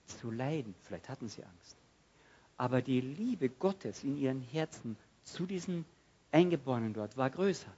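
A man preaching a sermon, swinging from loud outbursts to hushed, quiet passages and acting out prayers. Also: mid-range voice, husky, highs cut off.

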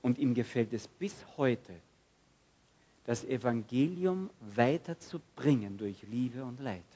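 A man preaching a sermon, swinging from loud outbursts to hushed, quiet passages and acting out prayers. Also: medium pitch, animated, takes it slowly, husky, lo-fi sound.

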